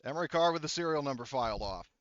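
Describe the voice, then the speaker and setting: A man acting as game master, narrating a tabletop roleplaying session casually and haltingly over an online voice call. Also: mid-range voice, lively intonation, a bit bright, smooth, no echo. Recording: dull lo-fi voice-chat audio.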